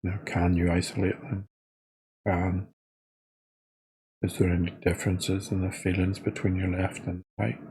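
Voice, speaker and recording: A man with a soft, husky voice leading a guided body scan meditation in an informal, unscripted, halting way. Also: low-pitched, level tone, poor audio. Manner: hesitant, halting delivery with trailing phrases.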